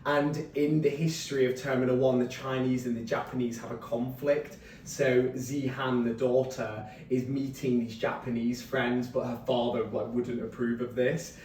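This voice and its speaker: A man giving an informal author talk, explaining his writing process in a rambling, animated way. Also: normal speed, hardly any pauses. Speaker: novelist discussing his book at a reading. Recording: roomy, distant mic, faint rumbling noise.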